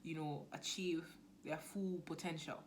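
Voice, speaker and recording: A young woman with a Nigerian accent talking casually to camera as a business coach, lively and now and then dropping to a near-whisper. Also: sits low, measured pace, slight background noise. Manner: chatty, animated, drops to near-whisper asides.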